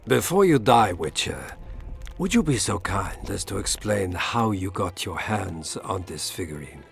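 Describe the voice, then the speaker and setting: An actor playing a courteous, scheming middle-aged Imperial ambassador in a fantasy game, formal and politely menacing. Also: male voice, low voice, big pitch swings, measured pace, gravelly, soft background music, exaggerated voice. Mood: smugly menacing. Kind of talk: scripted fantasy game dialogue.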